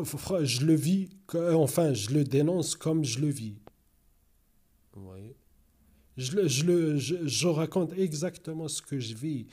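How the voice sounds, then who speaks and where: A man's voice: medium pitch, mellow, breathy edge. A man who is not a native French speaker talks straight to his viewers, rambling, hesitating and circling back to repeat himself. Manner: rambling, repeats "vous voyez" often; hesitant, many fillers and restarts. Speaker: YouTuber. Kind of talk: casual monologue to camera.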